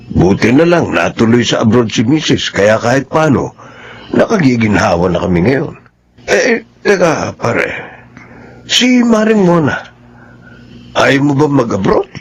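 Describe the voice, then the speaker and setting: An actor playing a reassuring friend in a radio drama, with a husky voice and a drawling manner. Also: male voice, mid-range voice, full character voice. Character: friendly buddy Dagul, easygoing advisor.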